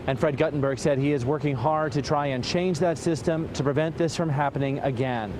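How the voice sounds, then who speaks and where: A man with an American accent reading a scripted news report in a measured, serious broadcast delivery. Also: on the high side, steady tone, background noise.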